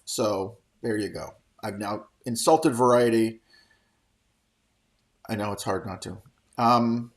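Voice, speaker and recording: Middle-aged male host for off-the-cuff trade news commentary, opinionated and rambling, mid-pitched voice, comfortable with casual swearing.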